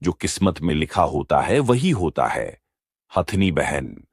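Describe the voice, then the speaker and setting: A man's voice: rumbling low, very animated, measured pace, husky, no room sound, clean studio sound, full character voice. A man voicing a bear moneylender in a children's moral-story cartoon, dramatic and lamenting in manner. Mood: resigned, sympathetic. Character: male bear moneylender, scheming yet remorseful. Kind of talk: Hindi animated moral story dialogue.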